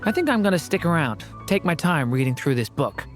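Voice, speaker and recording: A woman voicing a gentle young boy in a video game, earnest, thoughtful and hesitant. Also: sits low, big pitch swings, brisk, soft background music. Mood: pensive, earnest.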